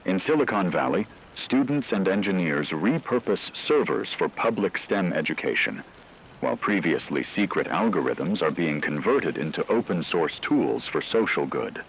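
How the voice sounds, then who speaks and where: Man playing a deep, solemn radio news anchor. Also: very animated, moderate pace, slight background noise, rough recording. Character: grave male radio news anchor in a dystopian broadcast. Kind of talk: scripted radio news narration.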